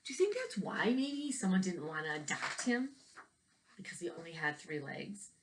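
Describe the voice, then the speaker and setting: A woman reading a picture book aloud to children, pausing to explain and ask them questions. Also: fairly low pitch, very animated, background noise. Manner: questioning, explains to young listeners. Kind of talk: read-aloud storytelling with asides and questions to children.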